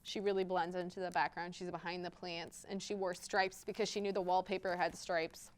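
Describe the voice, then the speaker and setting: A woman's voice: fairly low pitch, little pitch movement, fairly quick, slight background noise. A woman hosting a children's storytime, reading aloud expressively and speaking directly to young listeners. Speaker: library storytime host and children's reader. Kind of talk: picture-book read-aloud with interactive rhymes for young children.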